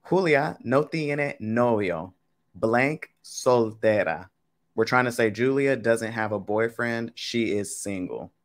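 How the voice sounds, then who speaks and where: Casual low-voiced man teaching live. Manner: casual, chatty, talks to chat with y'all. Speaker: Spanish teacher. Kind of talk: live-streamed game lesson.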